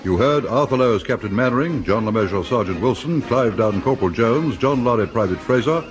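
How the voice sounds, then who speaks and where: A man reading scripted closing credits as a radio announcer, formal and measured. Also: medium pitch, animated. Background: theme music.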